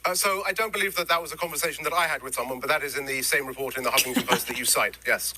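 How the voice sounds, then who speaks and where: A man answering questions in a news interview, explaining policy in measured detail with frequent "uh" fillers. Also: medium pitch, heavy background noise. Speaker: interview guest, former government official.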